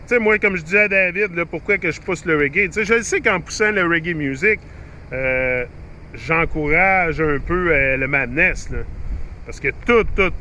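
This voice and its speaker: A man's voice: fairly high pitch, pushed and bright, a little hiss or hum. A middle-aged man with a Québécois French accent, talking to his YouTube viewers in a rambling, chatty way with many fillers and restarts. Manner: rambling, chatty, frequent fillers and restarts, mixes in English phrases. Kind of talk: rambling casual monologue to viewers.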